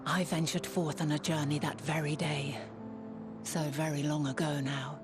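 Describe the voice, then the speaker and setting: Woman voicing a wise ancient sage, deep low voice, solemn, slow archaic phrasing, orchestral music behind. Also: little pitch movement, natural voice. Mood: reverent. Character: wise ancient woman scholar, serene and reverent. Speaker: game cutscene character. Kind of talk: voiced game cutscene dialogue. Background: orchestral game score.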